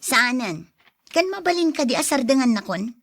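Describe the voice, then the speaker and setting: Blunt young heroine played by a woman, Ilocano accent, fairly high voice, curt and exasperated, dry close sound. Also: very animated, normal speed, fairly bright tone, no room sound, full character voice. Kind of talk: radio drama acting.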